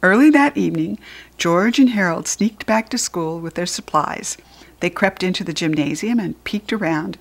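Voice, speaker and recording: American lady reading a kids story out loud, expressive, leans on words for little listeners. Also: lowish voice, moderate pace, vocal fry. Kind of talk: animated children's audiobook narration.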